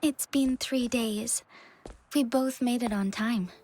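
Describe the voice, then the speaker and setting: A woman's voice: fairly high pitch, steady tone, slow, a little mellow. An actress playing a composed, gracious young female magistrate in a video game, speaking politely and formally.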